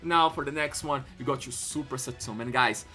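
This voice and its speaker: Excitable Brazilian man with a bright voice. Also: a little fast, faint music behind. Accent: Brazilian Portuguese. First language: Portuguese. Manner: excitable, spikes louder and higher when thrilled. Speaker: YouTube channel host. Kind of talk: enthusiastic collector commentary.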